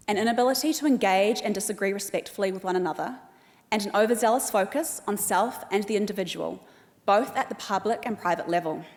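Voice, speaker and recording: Woman with a Kiwi accent in a hall. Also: mid-range voice. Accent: New Zealand. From Australia. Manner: measured, reads names slowly and deliberately. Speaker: event host. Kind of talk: formal welcome speech. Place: large hall at an evening event. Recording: long hall reverb.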